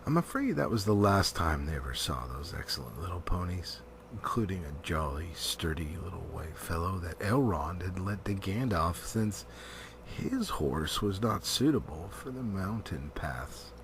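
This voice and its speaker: A man narrating a storybook as an amateur reader, theatrical, with drawn-out words and pauses. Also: low voice, animated, noisy background. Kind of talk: dramatic storybook reading.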